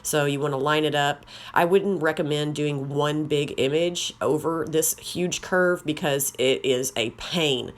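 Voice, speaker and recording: A woman with a slightly raspy voice, chatting casually as she walks through a craft tutorial. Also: sits low, unhurried, few pauses. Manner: chatty, rambling, self-deprecating. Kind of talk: casual how-to narration.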